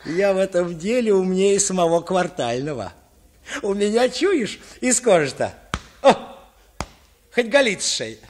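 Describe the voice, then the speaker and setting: A man's voice: high-pitched, lively intonation, unhurried, slight background noise. An actor in a Russian radio play playing a cheerful young peasant man with a folksy, emphatic, teasing way of talking. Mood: lively, teasing.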